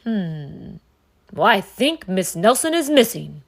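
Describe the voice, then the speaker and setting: Bumbling storybook detective, played by a woman: male, thoughtful hums, slow and obvious deductions. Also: medium pitch, very animated, gravelly, exaggerated voice. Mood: pondering. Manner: pondering, humming in thought. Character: slow-witted, pondering male police detective.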